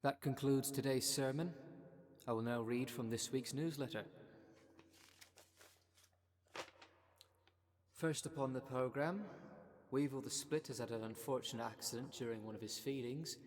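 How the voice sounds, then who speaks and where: A man playing a solemn, zealous cult leader in an audio roleplay, speaking with measured, grandiose earnestness. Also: medium pitch, a little hiss or hum, natural voice. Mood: formal, deadpan. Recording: long reverb of a large hall.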